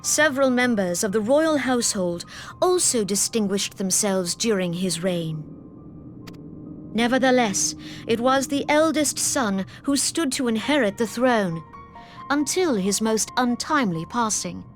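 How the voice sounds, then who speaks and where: A woman voicing a composed, authoritative counsellor in a fantasy game cutscene, speaking in a measured, formal, deliberate way. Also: a little high, lively intonation, faint music behind. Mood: grave, assured. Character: composed, authoritative woman counsellor briefing allies on imperial politics.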